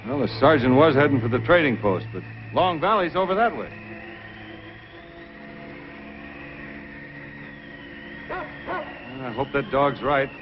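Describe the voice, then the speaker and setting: An actor playing a hearty, urgent frontier sled driver who thinks aloud in brisk exclamations, in old-time radio adventure style. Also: male voice, mid-range voice, sing-song, lightly acted. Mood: puzzled, determined. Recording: dull old radio recording with music.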